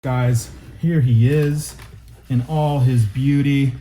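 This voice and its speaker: A man recovering from a cold and a little stuffy, chatting excitedly to viewers as he unboxes and reviews a toy. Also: medium pitch, slow.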